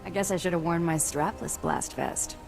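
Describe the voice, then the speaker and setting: An actress playing a wry, tough bounty hunter in a video game, terse and sardonic. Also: female voice, fairly low pitch, steady tone, moderate pace, lightly acted. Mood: flirtatious, wry. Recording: prominent background music under the voice.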